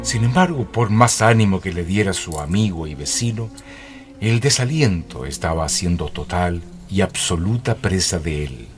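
A man's voice: sits low, expressive, normal speed, faint music behind. A man narrating a radio drama in a scripted, dramatic storytelling style.

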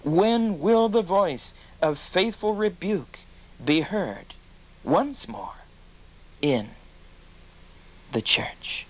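A man narrating a religious documentary in a grave, deliberate way and questioning an interviewee. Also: high-pitched, lively intonation, rough recording. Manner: slow, grave, deliberate reading.

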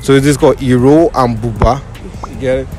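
A young man running a casual street interview, teasing people and slowly reading out options to guess. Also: mid-range voice, fairly even intonation, mellow, faint music behind. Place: outdoor shopping mall. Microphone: handheld phone.

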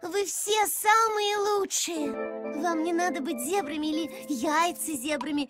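A woman's voice: extremely high pitch, a little slow. A woman voicing an earnest, bossy young zebra girl in a children's cartoon, with an insistent, lecturing manner. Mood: apologetic. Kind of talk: animated children's cartoon acting. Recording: music under the voice.

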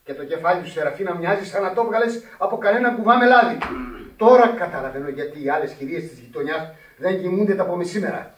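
Stern, high-voiced scolding parish priest. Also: male voice, lively intonation. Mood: scolding, indignant. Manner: admonishing, emphatic, formal. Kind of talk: radio drama acting. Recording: echoey radio drama, distant from microphone.

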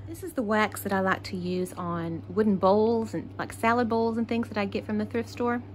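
A woman's voice: medium pitch, moderate pace, darkish tone, slight background noise. A woman from Texas hosting a casual crafting tutorial, chatty and thinking aloud as she works. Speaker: craft channel host.

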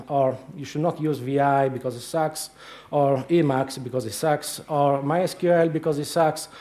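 A middle-aged man with a marked Italian accent speaks in a fairly high voice, spinning wry anecdotes at a conference. He hesitates often between phrases and speaks from a lectern in a large lecture hall. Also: darkish tone. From Italy. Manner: anecdotal storytelling with frequent hesitations. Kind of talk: humorous conference talk.

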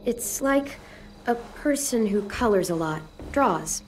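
A woman playing a guarded, weary teenage girl survivor in a video game, speaking in a measured, matter-of-fact way. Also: fairly high pitch, expressive, slight background noise. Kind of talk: video game drama dialogue.

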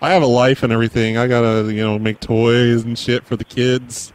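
A man doing prank phone calls as a mall Santa, in a deadpan, crude, mock-serious way. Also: medium pitch, brisk, smooth. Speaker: prank call host.

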